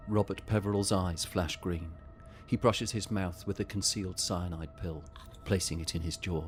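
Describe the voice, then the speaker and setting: A man narrating a scripted audio drama in a measured, descriptive way. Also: low-pitched, fairly even intonation, soft background music.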